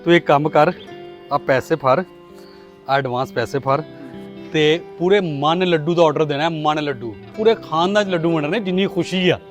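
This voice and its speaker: A man's voice: on the high side, moderate pace, faint music behind, lightly acted. A man playing a genial middle-aged neighbour and proud father, chatty, with a Pakistani Punjabi accent. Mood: delighted. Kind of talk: acted moral-story drama.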